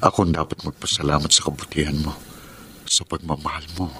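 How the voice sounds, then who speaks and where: A man's voice: a bass voice, little pitch movement, fast talker, slight background noise. A man with a Filipino accent acting a torn, remorseful married lover in a radio drama, earnest and sentimental. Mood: tender, grateful. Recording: radio drama with loud music underneath.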